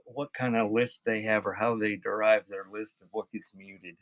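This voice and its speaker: Gravelly man selling casually on a livestream. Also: fairly low pitch, fairly even intonation, moderate pace, a bit bright, no room sound. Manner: off-the-cuff, addresses chat viewers by name. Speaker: live-stream auction seller of vintage music. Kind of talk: casual live-selling chat, reading out items.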